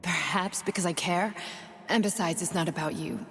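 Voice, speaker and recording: A woman's voice: on the low side, normal speed, natural voice. A woman playing an intense, idealistic rebel neurosurgeon in a video game cutscene, speaking with earnest, emphatic and condescending conviction. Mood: earnest, subdued. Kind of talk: dramatic cutscene acting. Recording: long hall-like reverb.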